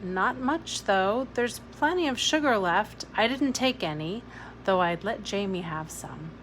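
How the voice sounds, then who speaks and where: A woman's voice: medium pitch, expressive, a little slow, fairly bright tone, slight background noise. Woman reading as a guarded young girl. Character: wary, defensive, self-reliant young girl.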